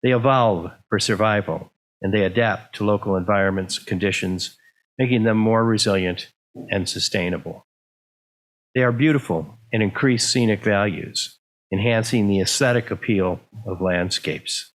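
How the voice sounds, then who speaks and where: A man's voice: fairly low pitch, normal speed, poor audio. A man reading out a prepared informational presentation in plain, orderly sentences.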